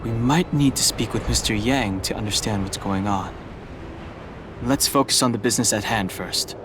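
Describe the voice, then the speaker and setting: A man voicing a calm, level-headed young companion in an anime-style game, measured and matter-of-fact as he explains. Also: on the low side, background noise, lightly acted. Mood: composed, serious. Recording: game music behind the voice.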